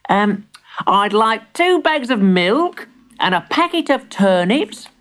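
A woman's voice: fairly high pitch, very animated, takes it slowly, a bit bright, pitched above the performer's own voice, full character voice. A woman voicing a polite, earnest little boy in a children's story, innocent and a little hesitant.

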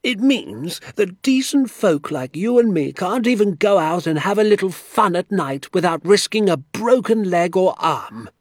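A man voicing an excitable little old country doctor in an audiobook, kindly and indignant, with emphatic, drawn-out, theatrical delivery. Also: mid-range voice, slightly rough, voice pushed up, heavily acted. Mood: outraged. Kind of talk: acted audiobook dialogue.

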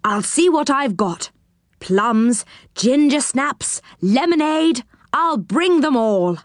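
A woman voicing a brisk, practical male character in a children's storybook, matter-of-fact and cautioning. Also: high-pitched, animated, dry recording, studio quality, pitched above the performer's own voice.

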